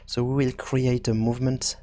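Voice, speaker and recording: A man hosting a programming tutorial, thinking aloud in a halting, hesitant way as he works through each step. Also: mid-range voice, little pitch movement, mellow. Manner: hesitant, halting, working things out as he speaks. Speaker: video game programming tutorial host.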